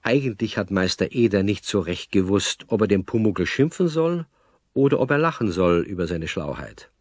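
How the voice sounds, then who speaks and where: A man with a southern German accent narrating a children's story in an unhurried storyteller's manner. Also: lowish voice, expressive, a little mellow, no echo, studio quality.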